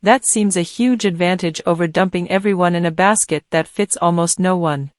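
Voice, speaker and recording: A woman's voice: lowish voice, normal speed, no echo, clean studio sound. A woman reading a passage aloud in the style of a scripted exam-practice model answer.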